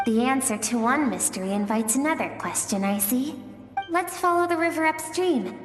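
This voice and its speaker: A woman voicing a playful, mysterious spirit guide in a video game, musing and expressive as she tells her tale. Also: high-pitched, normal speed. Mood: musing, wistful. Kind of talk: scripted video game dialogue. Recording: echoey hall-like reverb over music.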